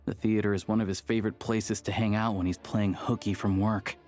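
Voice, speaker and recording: A man's voice: lowish voice, level tone, brisk, darkish tone, soft background music, natural voice. An actor playing an easygoing, knowledgeable local man in a video game, talking in a casual, conversational way. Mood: relaxed, friendly. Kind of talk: video game character dialogue.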